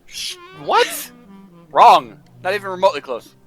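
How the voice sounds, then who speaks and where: Goofy young man, wildly animated delivery. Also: high voice, a little slow, breathy edge, faint music behind. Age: young adult. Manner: goofy, mock-contrary. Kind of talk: casual joking chat.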